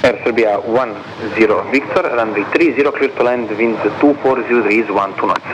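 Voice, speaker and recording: A man's voice: mid-range voice, a little fast. A man working as a tower controller, giving clipped, formulaic instructions in English and Serbian radio phraseology. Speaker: air traffic controller. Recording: muffled radio transmission with static.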